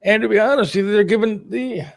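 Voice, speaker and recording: A man's voice: high voice, fast, gravelly, dry recording, studio quality. A middle-aged man talking candidly and casually on a talk show, plain-spoken and a little defensive. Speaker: radio producer and talk show host.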